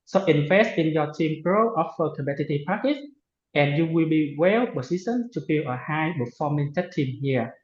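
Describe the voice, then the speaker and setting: A man's voice: on the high side, expressive, normal speed, reverberant, dull audio. A man finishing a webinar talk and thanking the audience. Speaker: webinar speaker. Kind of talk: closing a presentation.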